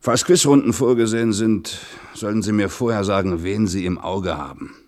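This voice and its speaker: An actor playing a bossy, pragmatic restaurant boss giving curt instructions in colloquial German radio drama. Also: male voice, on the low side, normal speed, a little mellow, lightly acted. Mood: bossy, conspiratorial. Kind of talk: German radio play acting, colloquial monologue.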